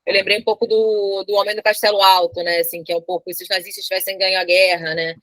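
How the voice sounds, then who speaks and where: A woman's voice: medium pitch, little pitch movement, fast, a bit bright, dry recording. A woman hosting an informal interview, chatty and a little hesitant in her phrasing.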